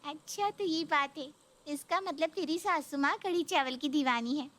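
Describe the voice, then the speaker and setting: A woman's voice: high voice, moderate pace, a bit bright. A woman voicing a chatty, helpful young female friend in a Hindi cartoon story. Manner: chatty, familiar.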